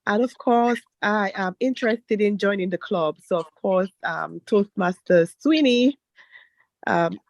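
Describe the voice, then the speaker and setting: A woman's voice: mid-range voice, unhurried, dry recording. A woman from Dominica with a Dominican accent, a congested guest introducing herself on a video call.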